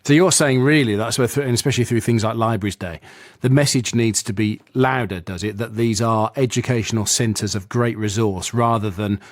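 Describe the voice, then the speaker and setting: A man's voice: on the low side, a little fast, no room sound. Chatty male radio presenter, dry sound. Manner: rambling, conversational questions with many backchannel murmurs. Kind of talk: radio interview.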